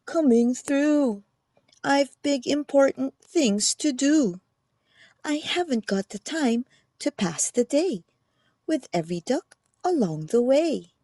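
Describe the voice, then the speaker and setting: A woman's voice: sits high, expressive, takes it slowly, mellow, no room sound, exaggerated voice. A woman voicing a big, self-important male dump truck in a children's storybook, with a honking, pompous manner.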